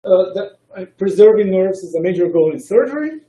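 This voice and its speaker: A man giving an academic science talk as a researcher, speaking in a hesitant, halting way. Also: sits high, expressive, mellow. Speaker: researcher presenting a colloquium. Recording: roomy echo, distant microphone.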